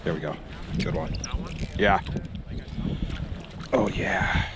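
A young man with an Upper Midwest American accent vlogging casually while ice fishing, upbeat and excited over catches, recorded outdoors on an action camera. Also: low-pitched, slow. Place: frozen lake outdoors. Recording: loud wind noise outdoors.

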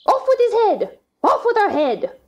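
A furious, bloodthirsty queen of an audio play. Her voice is extremely high and swings wildly as she bellows imperious threats. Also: wildly swooping pitch, unhurried, full character voice.

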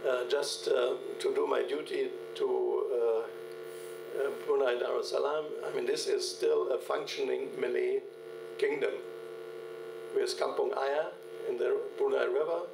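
An older man giving a keynote lecture from a podium, telling field anecdotes in a halting way with many fillers and restarts. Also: mid-range voice, fairly even intonation, creaky, over music. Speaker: keynote speaker. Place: large lecture hall at a podium. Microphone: podium microphone, recorded from the hall. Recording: echoey hall, distant, mains hum.

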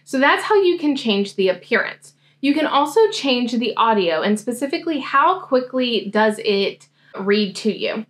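A young American woman giving a casual software tutorial, explaining things step by step. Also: on the high side, sing-song, normal speed. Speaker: host, academic coach demonstrating software. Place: indoor room, seated at a desk.

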